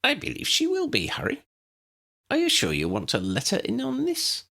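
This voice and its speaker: A tiny old male wizard professor speaks in a raised, swooping pitch. He is courteous and chirpy, a storybook academic with polite manners. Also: huge pitch swings, normal speed, gravelly, pushed and bright, exaggerated voice. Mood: cautious.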